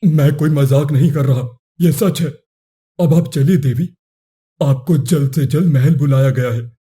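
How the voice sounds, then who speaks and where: Guy doing a respectful royal guard for a cartoon story, soft mellow tone, very formal. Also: on the high side, a little slow, lightly acted. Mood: firm, polite. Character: courteous royal soldier bearing a summons. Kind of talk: cartoon moral story voice acting.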